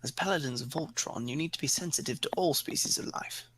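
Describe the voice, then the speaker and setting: A woman voicing a calm, polite, gently chiding alien princess with formal, measured speech, in a story reading. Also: sits low, a little hiss or hum, voice pushed down.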